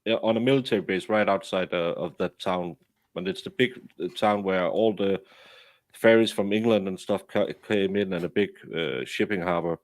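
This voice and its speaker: A man's voice: deep voice, moderate pace, dry recording. Man telling rambling stories, dry sound. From Denmark. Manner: rambling storyteller with many restarts. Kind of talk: casual chat on a stream.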